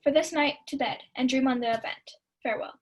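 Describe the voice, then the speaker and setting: A young woman playing a shrewd, mischievous household servant in a Shakespeare radio play, brisk and conspiratorial. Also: high-pitched. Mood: gleeful, conspiratorial. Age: young adult.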